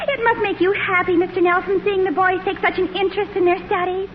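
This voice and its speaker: A woman playing a gushing, excitable teenage neighbor girl with an American accent and theatrical delivery in an old-time radio comedy. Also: extremely high pitch, fast. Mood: curious. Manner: breathless, theatrical, dramatic swelling delivery. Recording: muffled, very noisy old radio, studio audience.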